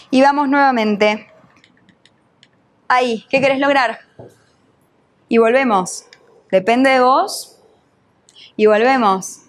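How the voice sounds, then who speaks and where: Una mujer con acento argentino, entrenadora que da un taller en vivo y le hace preguntas al público. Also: female voice, a little high. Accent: Argentine Spanish. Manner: questions the audience, uses voseo, teacherly. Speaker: NLP trainer and coach. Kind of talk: live workshop talk.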